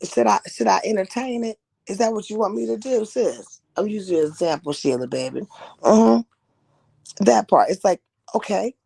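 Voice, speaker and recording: A woman hosting a live stream talks into a headset mic. Her voice carries a gravelly fry, and she talks in an animated, slangy, preachy way, calling guests sis and baby. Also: medium pitch, moderate pace. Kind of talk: casual live stream talk. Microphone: headset microphone.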